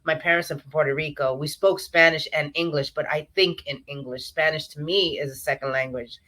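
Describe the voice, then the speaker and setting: A woman from New York hosts a relaxed online interview. Her voice is bright and clear, and she chats freely, filling pauses with "you know" and warm affirmations. She is heard over a video call. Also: low voice. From New York, USA. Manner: chatty, warm affirmations with many fillers. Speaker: interview host.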